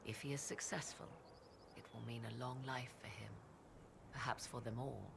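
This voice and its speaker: A woman's voice: very deep voice, steady tone, moderate pace, vocal fry, noisy background, heavily acted. An actress playing a proud, aloof female witch and mother in a fantasy video game cutscene. She speaks in formal, archaic diction with a haughty, dry manner.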